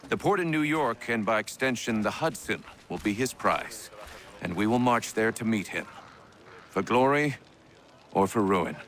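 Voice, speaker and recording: An actor playing a resolute older army commander in a video game, formal, measured and authoritative. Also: male voice, fairly low pitch, animated, a bit bright. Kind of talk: game cutscene dialogue.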